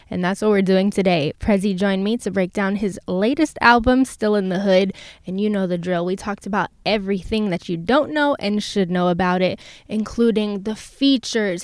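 A woman's voice: medium pitch, no echo, studio quality. A woman hosting a podcast, interviewing a guest in an upbeat, enthusiastic way. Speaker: podcast host. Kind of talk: casual interview.

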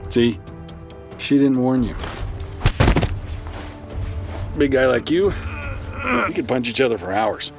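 A man's voice: medium pitch, lively intonation, normal speed, over music, natural voice. A man playing a wry, chatty therapist in an audio drama, talking in a hesitant, rambling, sardonic way. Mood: sheepish bravado. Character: wry, chatty, flustered male therapist who brawls apologetically. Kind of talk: naturalistic audio drama acting.

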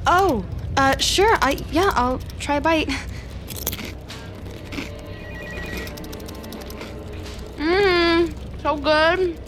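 A woman's voice: high-pitched, takes it slowly, fairly bright tone. A woman playing a defiant, agitated office team leader with secrets in an audio drama, stammering and speaking dramatically. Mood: flustered. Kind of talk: audio fiction acting with confiding monologue. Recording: music bed behind the voice.

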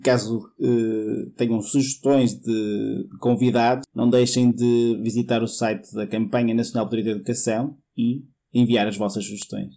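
A man with a European Portuguese accent co-hosting a podcast, speaking in a halting way with repeated words. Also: medium pitch, flat delivery, warm tone. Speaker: podcast co-host, researcher. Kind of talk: podcast talk.

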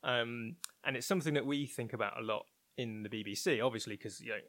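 A man's voice: medium pitch, fast talker, a bit bright, no echo. Thoughtful man, dry close sound. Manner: thoughtful, hedging, often restarts mid-phrase. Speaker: interviewed research and development head. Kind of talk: conversational interview.

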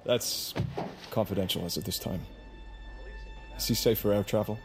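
An actor playing an officious federal agent in an audio drama, formal and clipped. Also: male voice, lowish voice, normal speed, music in the background, close to an everyday voice. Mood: formal, brisk.

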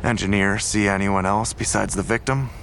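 An actor playing a seasoned homicide detective, calm and probing as he questions a younger officer. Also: fairly low pitch, normal speed, vocal fry, slight background noise. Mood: steady, weary. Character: seasoned male homicide detective, weary, measured. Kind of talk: audio drama acting.

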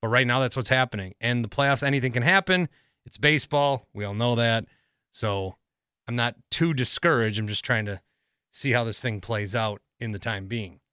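A man's voice: medium pitch, moderate pace, bright, forceful tone, clean tone, dry recording, studio quality. A man with an American accent hosting a podcast solo, talking casually and rambling as he thinks aloud. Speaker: podcast host.

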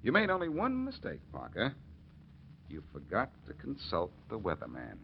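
An American actor playing a confident, needling amateur detective with an emphatic delivery in a 1940s radio drama. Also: male voice, fairly low pitch, huge pitch swings, moderate pace, gravelly, breathy edge, heavily acted. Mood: accusing, triumphant. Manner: emphatic, punchy, accusing delivery. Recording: muffled, noisy 1940s radio recording.

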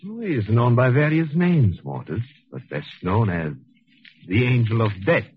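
An actor playing a cold, arrogant intellectual murderer in a 1940s radio thriller, speaking in a pompous, measured, condescending way. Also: male voice, medium pitch, big pitch swings, background noise, close to an everyday voice. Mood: sinister, insinuating. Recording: dull 1940s radio recording.

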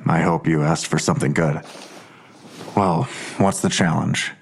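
A skeptical investigator in a fiction podcast. His voice is deep, and he talks with weary, sardonic dismissal in an understated, natural delivery. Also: fairly even intonation, unhurried, slightly rough, heavily acted. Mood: incredulous, skeptical. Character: Blossom, weary skeptical male investigator, a father. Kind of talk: naturalistic audio drama acting.

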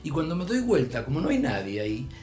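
An older man from Argentina with an Argentine Spanish accent, telling a long story in a relaxed chat, his voice rising and dropping as he goes. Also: a little high, fast. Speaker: storyteller guest. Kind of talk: casual anecdote.

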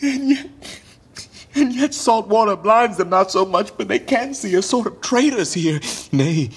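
Fallen english king, shakespeare radio play, slow mournful declaiming, bit high voiced, proud and self-dramatizing. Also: lively intonation, breathy edge, full character voice. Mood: bitter, anguished.

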